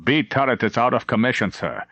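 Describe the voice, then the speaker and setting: A man's voice: mid-range voice, animated, a little fast, fairly bright tone, no room sound. A man reading the part of a controlled German warship captain, formal, clipped and restrained, in an audiobook. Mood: cool, controlled.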